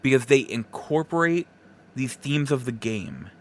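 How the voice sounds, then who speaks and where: A man hosting a podcast, giving casual solo opinions in halting, broken phrases with emphatic stresses. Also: medium pitch, lively intonation. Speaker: podcast host.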